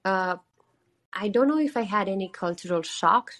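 A woman's voice: medium pitch, expressive, normal speed, a crowd in the background. A young woman from Romania, speaking with a Romanian accent, who chats earnestly and uses "like" a lot as the guest on a call-in interview. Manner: chatty and earnest, with frequent "like" fillers. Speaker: singer-songwriter guest.

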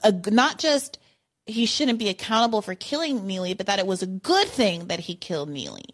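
A woman's voice: medium pitch, animated, brisk. Casting: female call-in host, mid-range voice, conversational political commentary. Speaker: talk show host.

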